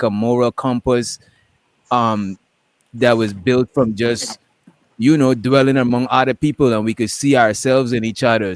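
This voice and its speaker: Casual livestream talker, male, from Guyana. Colloquial, reflective delivery, medium pitch, very little room sound. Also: dry recording. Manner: colloquial, reflective, often checking he's understood. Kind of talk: casual chat on a group livestream.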